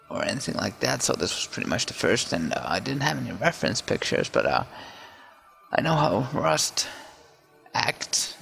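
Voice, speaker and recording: A man casually narrating his own digital painting in a relaxed, hesitant way, full of fillers and restarts, over faint music. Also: mid-range voice, vocal fry.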